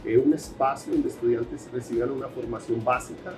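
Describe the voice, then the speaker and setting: A man's voice: mid-range voice, steady tone, a little fast. Hesitant Colombian man with faint music. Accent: Colombian Spanish with Brazilian Portuguese traces. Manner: hesitant, many fillers and restarts. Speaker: researcher guest. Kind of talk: interview answers.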